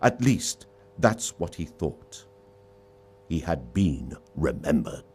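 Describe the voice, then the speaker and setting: Male storyteller, low register, unhurried pace, theatrical and expressive narration. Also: slightly rough. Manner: theatrical, dramatic delivery. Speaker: story reader.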